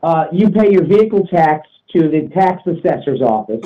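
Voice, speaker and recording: Blunt man with a higher-pitched voice, muffled sound. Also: expressive, normal speed. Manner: blunt, insistent, profane. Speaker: talk show participant. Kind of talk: casual opinionated discussion.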